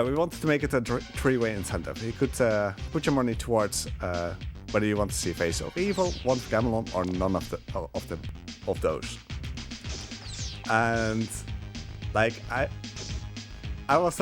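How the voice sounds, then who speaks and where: A man with a fairly low voice gives casual speedrun commentary. He rambles and halts as he goes, with game music clearly playing behind him. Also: animated. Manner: rambling, halting, chuckling asides. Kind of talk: casual live gameplay commentary.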